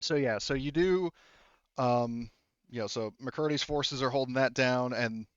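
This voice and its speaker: A man narrates a tabletop game in a clean, smooth voice at an ordinary pitch. He is the game master, talking casually and haltingly with loose, sweary phrasing. The recording is dry and slightly dull. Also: no room sound. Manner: halting, informal, swears freely.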